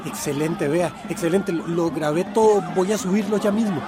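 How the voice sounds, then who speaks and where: A man playing an eager, hurried onlooker at a street protest in a radio drama, with a crowd behind. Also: high-pitched, background noise, close to an everyday voice.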